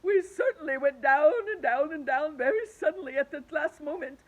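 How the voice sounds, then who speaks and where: A woman voicing a gloomy, blind male earthworm in a storybook, wailing high and loud about disaster. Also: moderate pace, close to an everyday voice.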